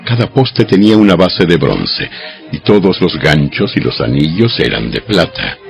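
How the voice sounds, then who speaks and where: A man narrating scripture from a script in a measured, solemn, deliberate manner. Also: sits low, sing-song, vocal fry. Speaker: audio Bible narrator. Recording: dull lo-fi audio with background music. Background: background music.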